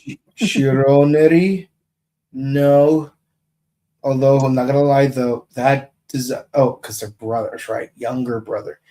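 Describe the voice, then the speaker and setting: Slow-talking joking male podcast host. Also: medium pitch, steady tone, rough recording. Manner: jokey, rambling, thinks out loud. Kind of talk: casual chat about a TV series.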